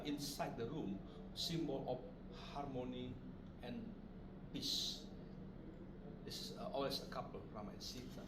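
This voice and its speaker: Indonesian man demonstrating, distant in noisy room. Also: mid-range voice. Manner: short phrases in simple non-native English. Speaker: puppet maker giving a demonstration. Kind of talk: informal craft demonstration to visitors. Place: roomy puppet studio. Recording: roomy, distant mic, loud background noise.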